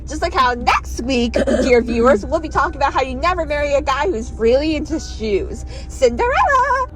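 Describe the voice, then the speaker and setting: High-pitched, animated woman retelling fairy tales. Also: normal speed, soft background music, low-quality recording. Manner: excitable, playful. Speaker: host. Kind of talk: casual comic fairy-tale retelling.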